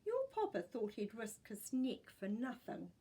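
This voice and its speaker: A woman's voice: a little high, wildly swooping pitch, a little slow, dark tone, slightly rough, exaggerated voice. A woman voicing a kindly grandmother who remembers her childhood with tender sadness in a storybook. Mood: sad, reflective. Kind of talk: storybook character voice.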